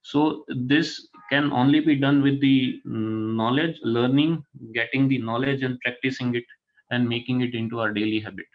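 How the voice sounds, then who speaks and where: A man with a Gujarati Indian accent, a native Gujarati speaker, talks to students in a mid-pitched voice. His delivery is an informal, halting lecture with frequent little check-in tags. The sound is dull, lo-fi online call audio. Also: fairly even intonation. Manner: halting, often checks with "right?" and "fine". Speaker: guest speaker, corporate HR manager. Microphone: online video call.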